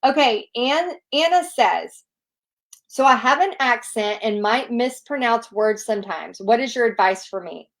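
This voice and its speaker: A woman's voice: on the high side, expressive, measured pace, fairly bright tone. Upbeat encouraging woman with a Southern accent. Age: adult, nearly two decades of experience. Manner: upbeat, encouraging, chatty with frequent "like" and laughs. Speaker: paint party instructor hosting a Q&A. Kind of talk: casual live Q&A, reading viewer questions.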